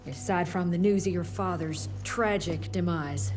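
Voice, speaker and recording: A woman's voice: fairly low pitch, measured pace, a little mellow, soft background music, close to an everyday voice. An actress playing a poised female scientist who talks with cool, precise formality. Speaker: doctor running a lab.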